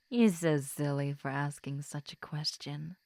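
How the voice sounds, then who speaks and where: A woman playing a tipsy, giddy, lovestruck schoolgirl in an intimate roleplay audio drama, with soft murmurs and a drawn-out, affectionate delivery. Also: fairly low pitch, no echo, full character voice.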